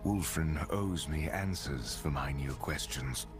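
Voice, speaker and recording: An actor playing a grim, questioning wandering hero in a video game, speaking in a grave, blunt, measured way. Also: male voice, very deep voice. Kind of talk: dramatic game voice acting. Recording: game music behind the voice.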